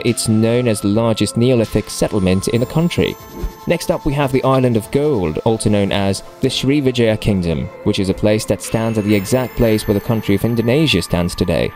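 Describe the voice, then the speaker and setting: Male voice-over narrator with a lowish voice, steady scripted read over faint music. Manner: even, reading a list script.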